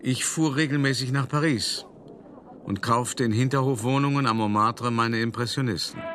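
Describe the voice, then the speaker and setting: An actor playing a calculating, urbane male art dealer who recounts his past deliberately and confidingly in a radio play monologue. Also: mid-range voice, a bit bright, a little hiss or hum, natural voice. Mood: reflective. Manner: deliberate, confiding, addressing the listener directly. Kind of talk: first-person dramatic monologue in a German radio play.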